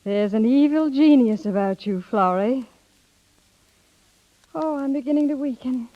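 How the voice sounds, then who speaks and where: Earnest young sister, lively high voice. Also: female voice, measured pace. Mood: reluctant, amused. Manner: earnest, protesting, dryly witty. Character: innocent, principled young woman, reluctant younger sister. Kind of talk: 1930s radio drama acting. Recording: muffled vintage 1930s radio broadcast.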